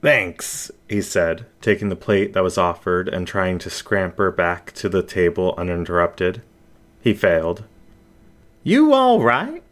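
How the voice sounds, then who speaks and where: Casting: male audiobook narrator, deep pitch, expressive suspense delivery for dramatic fiction. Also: moderate pace. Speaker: story reader. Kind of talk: dramatic scripted story narration.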